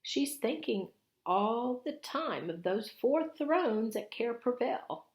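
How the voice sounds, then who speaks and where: A woman reader acts out an older beaver wife from a children's storybook. She is fretful and emphatic, pressing her warnings urgently in a raised, high voice. The room adds a noticeable echo.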